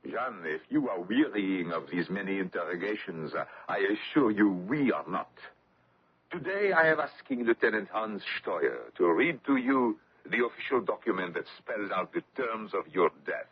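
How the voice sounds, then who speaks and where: Man acting a sly, deep-voiced menacing inquisitor. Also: expressive, moderate pace, slight background noise, close to an everyday voice. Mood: coldly insinuating. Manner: mock-polite, pompous, insinuating. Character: sly, menacing male inquisitor with mocking courtesy. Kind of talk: old-time radio drama acting. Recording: dull, muffled old radio recording.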